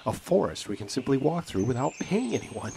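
An actor playing a mild, polite older brother from the future in a comic audio drama. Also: male voice, mid-range voice, big pitch swings, fairly quick, vocal fry, background noise. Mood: awed.

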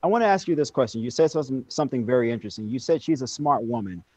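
A man's voice: medium pitch, expressive, rapid-fire, a little mellow, no room sound. American male host, dry studio sound. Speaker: news-style host. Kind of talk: broadcast interview hosting.

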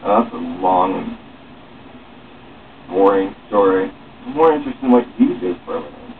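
A young man doing an animated dramatic reading of dialogue, playing all the parts himself in a halting delivery. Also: deep voice. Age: young adult. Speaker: author reading own script aloud. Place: small room at home, seated. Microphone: far camera or webcam microphone. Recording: muffled phone-like webcam sound, distant, noisy.

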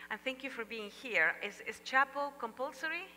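An older woman with an Argentine Spanish accent gives a lively chapel talk, telling a family story with humorous asides. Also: high voice, normal speed, slight background noise. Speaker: chapel speaker. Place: large church sanctuary stage. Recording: long reverb of a large hall.

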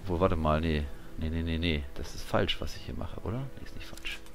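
A man's voice: rumbling low, a little fast. A man giving casual, offhand gameplay commentary, half muttering to himself. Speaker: gamer, let's player.

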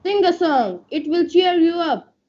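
Young princess, kind and eager, played by an amateur, very high voice, careful reading, dull video-call sound. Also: lively intonation, a little slow, darkish tone. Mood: concerned. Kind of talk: amateur online radio skit, read from script.

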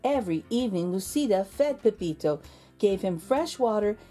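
A woman narrating a children's storybook in an expressive, deliberate storytelling manner. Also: mid-range voice, soft background music. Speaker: children's read-aloud host.

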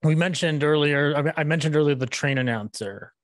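A man in his thirties chatting casually as a podcast host, with halting phrasing, close on a microphone. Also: fairly high pitch, no room sound. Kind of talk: casual video-call chat. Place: small room, video call. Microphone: close boom-arm podcast microphone.

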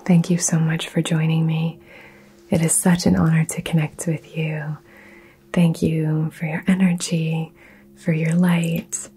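A woman whispering soothing affirmations in an ASMR session, soft and gentle. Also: low voice, flat delivery, a little slow, slightly rough. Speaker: ASMR host.

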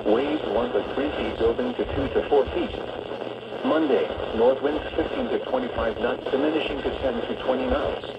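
A man's voice: a little high, huge pitch swings, moderate pace, gravelly. A synthetic male announcer voice reading a scripted weather forecast in a formal, clipped way over weather radio. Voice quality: synthetic text-to-speech voice. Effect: weather radio broadcast. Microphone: radio speaker picked up by camera microphone. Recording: muffled, noisy weather radio playback.

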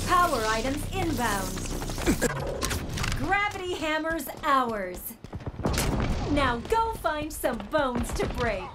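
An actress playing a chirpy, playfully bloodthirsty AI companion in a video game. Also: female voice, high-pitched, exaggerated voice. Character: perky, playfully bloodthirsty female AI combat companion. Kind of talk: video game announcer lines. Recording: distant, loud music and gunfire behind. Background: loud music, gunfire.

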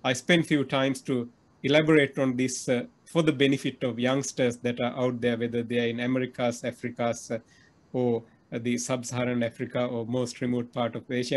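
A middle-aged man interviewing over a video call, earnest, with many fillers and restarts. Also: medium pitch. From Sri Lanka. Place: indoor room.

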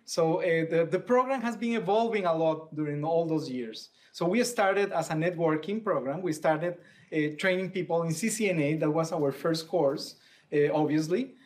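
Higher-pitched man with Costa Rican accent. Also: moderate pace, a little mellow. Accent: Costa Rican Spanish. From Costa Rica. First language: Spanish. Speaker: technical manager, panel guest. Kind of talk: semi-formal spoken explanation. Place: small plain office room, indoors, on a video call.

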